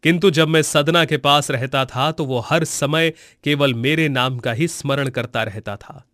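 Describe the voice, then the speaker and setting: Benevolent cartoon god, measured formal delivery, serene and kindly, recorded dry. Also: male voice, on the high side, a bit bright, no room sound. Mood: serene, reassuring.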